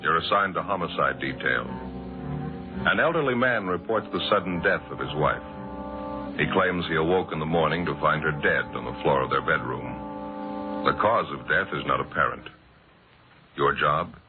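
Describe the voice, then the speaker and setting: A man announcing an old radio crime drama in grave, measured, authoritative scripted narration. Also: very deep voice. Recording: phone-like old radio recording. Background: dramatic music.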